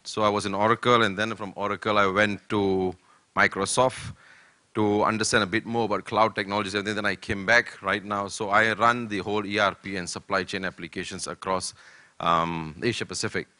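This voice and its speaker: Bright-voiced man giving a keynote. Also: on the low side, fairly even intonation, no room sound. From Malaysia. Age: older. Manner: slow deliberate delivery with many fillers. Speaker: keynote speaker. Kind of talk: conference keynote talk. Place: conference hall.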